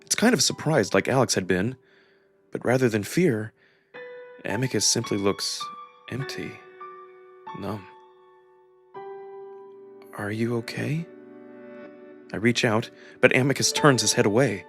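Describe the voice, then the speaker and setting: A man's voice: lowish voice, expressive, moderate pace, pauses often, a bit bright, soft background music. A man giving a dramatic first-person narration of a visual novel story. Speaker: narrator and voice performer.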